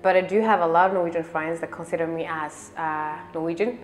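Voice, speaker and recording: A woman's voice: deep voice, steady tone, a little fast, slight background noise. A woman speaking openly and plainly in an interview. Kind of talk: interview talk. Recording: roomy echo.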